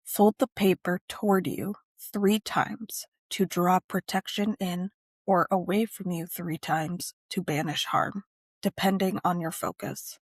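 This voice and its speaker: A woman narrating a scripted guided meditation and ritual in measured, deliberate phrases with frequent pauses. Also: fairly low pitch, fairly even intonation, husky, no room sound, clean studio sound.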